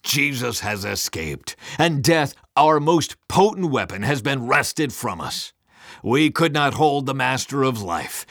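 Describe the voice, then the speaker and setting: Male narrator as vengeful lord of demons: commanding, grandiose, bellowing, raised swooping pitch, storybook villain. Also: fairly bright tone, no echo, studio quality. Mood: commanding, angry.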